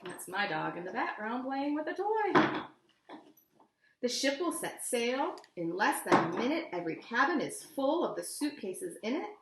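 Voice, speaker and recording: A woman's voice: on the high side, normal speed, very noisy. A woman with an American accent reads a picture book aloud expressively to young listeners, with casual asides. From United States. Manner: animated, addressing young listeners. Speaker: children's story reader. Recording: echoey room.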